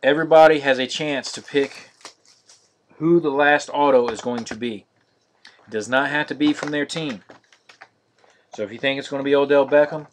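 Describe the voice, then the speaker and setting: Male card-break host, mid pitch, flat steady delivery, live-stream feel. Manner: monotonous, steady listing of cards.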